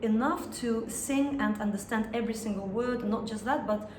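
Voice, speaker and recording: Russian-accented woman singer, reflective interview talk that pauses and restarts to find words; roomy sound. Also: on the high side. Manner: thoughtful, searching for words, frequent restarts. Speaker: singer and songwriter. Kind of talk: interview conversation. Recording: echoey room with some noise.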